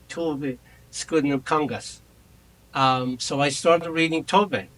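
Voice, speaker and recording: A man in his fifties whose first language is Kurdish, chairing a memorial roundtable at an academic conference in a reflective, measured, personal way. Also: mid-range voice, a bit bright, slight background noise, rough recording. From Iran. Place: conference room.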